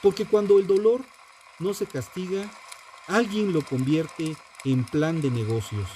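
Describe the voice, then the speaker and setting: A man narrates a scripted true crime piece in a solemn, dramatic and deliberate manner. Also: on the high side, mellow, slight background noise. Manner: solemn, dramatic, deliberate phrasing with rhetorical questions. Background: music.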